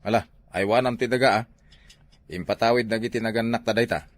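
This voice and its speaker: A man's voice: fairly low pitch, brisk, dry recording, close to an everyday voice. A man with an Ilocano accent playing a responsible, exasperated older brother in a comedy drama, sighing and admonishing.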